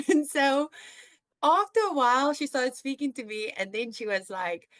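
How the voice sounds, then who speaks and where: Young South African woman, podcast host. Higher-pitched, dry sound, casual and chatty. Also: animated, moderate pace, fairly bright tone, no room sound. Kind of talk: casual chat with a friend.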